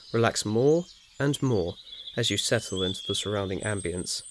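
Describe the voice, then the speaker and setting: A man reading scripted second-person ASMR fiction as narrator in a soothing, measured manner. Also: lowish voice. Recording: faint noise, nature ambience behind. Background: birdsong, insects, nature ambience.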